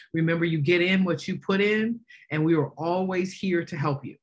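A woman college vice president giving earnest welcome remarks over a video call, speaking with pauses. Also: low-pitched, husky.

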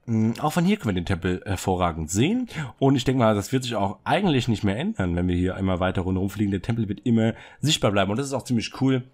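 Excitable young man with a cold. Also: on the low side, quick pace. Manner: excited, fidgety, rambling with many fillers. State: has a cold, clears throat. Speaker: Minecraft server builder showing a city. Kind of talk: casual gameplay commentary. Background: soft background music.

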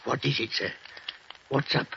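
An actor playing a little old Cockney barber, chatty and deferential, in a 1940s radio drama. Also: male voice, medium pitch, moderate pace, vocal fry, natural voice. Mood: puzzled. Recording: dull old radio recording with faint hiss.

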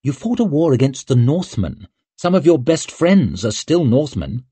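A man voicing a cool, ruthless young noblewoman with a crisp, commanding manner, raised well above his own voice. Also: medium pitch, very animated, mellow, no room sound, pitched above the performer's own voice. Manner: crisp, commanding, quick to argue.

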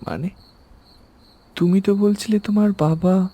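A man's voice: high voice, fairly even intonation, unhurried, dark tone. A man voicing a rational young postmaster from the town who asks skeptical, probing questions. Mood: curious.

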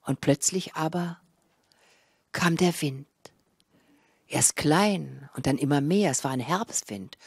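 An older woman storyteller, telling a fairy tale live in a theatrical, expressive manner into a handheld microphone. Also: low voice, slow pace, long pauses, slightly rough, dry recording, studio quality. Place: small indoor café room with a seated audience. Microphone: handheld microphone held close.

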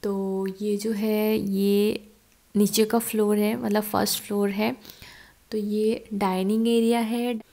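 Chatty young woman with a gravelly edge. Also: mid-range voice, flat delivery, slow pace, warm tone. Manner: chatty, explaining as she walks through rooms. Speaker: vlogger. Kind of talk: casual home tour vlog. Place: empty house with bare rooms, indoors. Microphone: phone camera, at arm's length then handheld while walking.

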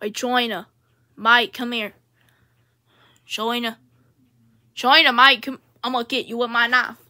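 A woman's voice: a little high, fairly even intonation, a little slow, sharp tone. A woman voicing Australia as a cheerful, knife-happy Aussie bloke in an improvised comedy skit, brash and matey with an Australian accent. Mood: menacing, cheerful. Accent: Australian, put on. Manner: brash, matey, repetitive slangy phrasing.

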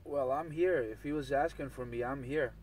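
A man's voice: medium pitch, moderate pace, very noisy. A man in casual, slangy CB radio banter, repeating catchphrases over and over. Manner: repetitive chanting of catchphrases, slangy. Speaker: CB radio operator. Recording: distant CB radio transmission, outdoor vehicle sound.

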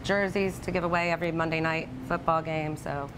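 A woman's voice: sits low, steady tone, brisk. A middle-aged woman talking enthusiastically and emphatically as a guest in a casual promotional interview. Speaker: bar representative, interview guest. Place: glass-enclosed rooftop bar. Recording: clear background noise.

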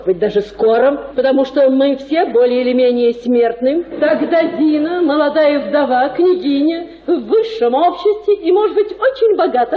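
An actress playing a scheming, smooth-tongued provincial society mother in a Russian radio play, cajoling and effusive. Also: high-pitched, narrow, tinny audio. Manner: cajoling, effusive, sprinkled with French endearments.

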